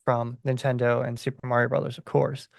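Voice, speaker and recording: A man's voice: medium pitch, little pitch movement, brisk, gravelly, no room sound, studio quality. A man speaking casually as a guest on a podcast. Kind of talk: casual chat.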